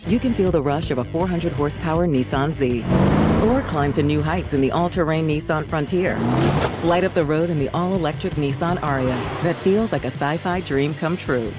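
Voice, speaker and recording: Female commercial announcer, low register, scripted voice-over delivery, clear music bed.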